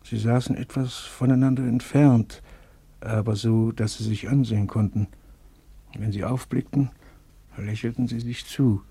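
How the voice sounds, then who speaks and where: An actor playing a weary, guilt-ridden male camp survivor in a radio play, speaking haltingly with many pauses. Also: mid-range voice, steady tone, vocal fry, warm tone, breathy edge, no room sound, heavily acted. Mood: recounting.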